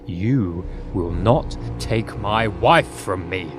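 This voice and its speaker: A man playing an anxious, devoted young husband in an audio drama, husky, worried and earnest. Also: low-pitched, lively intonation, extremely slow, music in the background, heavily acted. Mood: grim.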